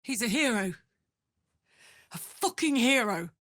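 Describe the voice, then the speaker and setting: An actress playing a brash, warm-hearted warrior woman in a video game, with an earnest, salty, blunt manner. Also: fairly high pitch, expressive, takes it slowly, dry recording, clean studio sound, exaggerated voice. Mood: fierce, exasperated. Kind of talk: video game character voice lines.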